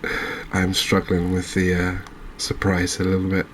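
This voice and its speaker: A man with an English accent giving soft-spoken ASMR game commentary in a hushed, unhurried, hesitant manner. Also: deep voice, completely flat delivery, slight background noise. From England. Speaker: gaming video host.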